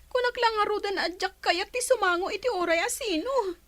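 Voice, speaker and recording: A woman's voice: very high voice, a little fast, fairly bright tone. A woman playing a distraught, withdrawn young woman in a village radio drama, crying and pleading. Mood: anguished. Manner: anguished, pleading.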